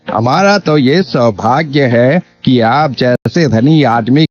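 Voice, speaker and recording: A man's voice: medium pitch, lively intonation, slow, slight background noise. A man playing a greedy, falsely humble village landlord in a cartoon moral story, with an oily, over-polite manner. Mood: oily flattery. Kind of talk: Hindi animated moral story acting. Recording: dull lo-fi sound, faint music bed.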